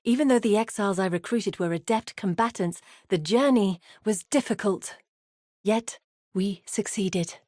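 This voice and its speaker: A woman's voice: medium pitch, darkish tone, no room sound, lightly acted. Earnest, weary woman explorer played by an actress, dry studio sound. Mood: solemn. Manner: measured, grave, articulate storytelling. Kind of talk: scripted video game voice acting.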